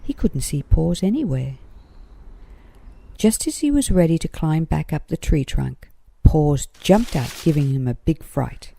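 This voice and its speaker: A woman's voice: sits low, expressive, a little slow, creaky, warm tone, husky. A woman narrating a children's story in a scripted read-aloud style.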